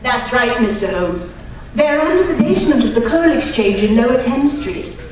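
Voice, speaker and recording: Heard from far off in a very echoey hall, a woman plays a genteel lady archaeologist in a radio play. She is eager, polite and earnest, with a fairly high voice over faint noise. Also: darkish tone, close to an everyday voice. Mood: earnest, proud. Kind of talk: old-time radio drama acting on stage.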